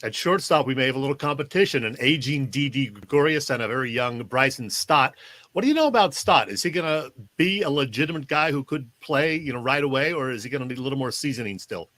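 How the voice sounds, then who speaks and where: A man with an American accent hosts a sports talk interview in a bright, forceful voice. The sound is dry, and his questioning is conversational. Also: medium pitch, animated, fast, no room sound. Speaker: sports show host, interviewer.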